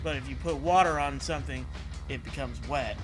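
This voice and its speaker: A man's voice: medium pitch, a little fast, music in the background, low-quality recording. A man co-hosting a comedy show with sardonic, sweary, casual riffing. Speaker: co-host commenting on the story.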